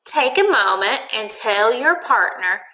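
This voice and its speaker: A woman's voice: on the high side, expressive, takes it slowly, pushed and bright, a little hiss or hum, rough recording. A woman teacher giving instructions to her young class in a recorded lesson. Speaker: elementary school teacher.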